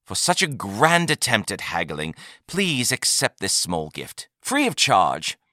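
Sly alien merchant voiced by a man, ingratiating haggler for a sci-fi audiobook, animated and forward in tone. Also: lowish voice, unhurried, dry recording, studio quality, heavily acted. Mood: smooth persuasion. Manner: ingratiating, conspiratorial, dramatic haggling. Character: sly, flattering male alien trader, youthful, theatrical salesman.

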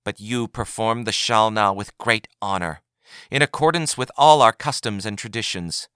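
A man voicing a dignified woman judge who speaks in a measured, solemn way. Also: on the low side, fairly even intonation, pushed and bright, clean tone, no room sound, studio quality. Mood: gentle.

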